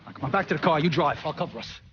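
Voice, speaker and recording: An actor playing a vengeful, foul-mouthed American gangster in a crime video game, blunt, streetwise and menacing. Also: male voice, a little high, lively intonation, rapid-fire, lo-fi sound, very noisy, close to an everyday voice. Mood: gruff, urgent. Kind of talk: dramatic crime game acting. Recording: music behind the voice.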